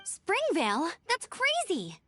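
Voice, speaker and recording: A young female adventurer speaks in a high, expressive voice that moves freely. She sounds measured and decisive. Soft game music lies behind her. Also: full character voice. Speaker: game protagonist. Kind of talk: game voice acting.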